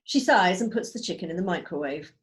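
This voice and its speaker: A woman reading out screenplay stage directions as measured, storytelling narration. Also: lowish voice, animated. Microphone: video call.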